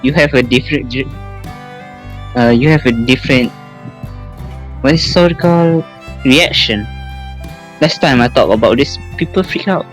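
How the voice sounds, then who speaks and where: A man hosting a casual podcast chat, talking in a halting, rambling way. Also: mid-range voice. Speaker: podcast host. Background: plucked guitar music.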